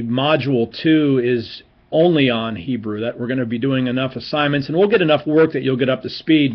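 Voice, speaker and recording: A man's voice: medium pitch, highs cut off. Encouraging man in his forties lecturing. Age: 40s. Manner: informal, encouraging, frequent fillers and restarts. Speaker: seminary professor. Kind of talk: recorded online class lecture.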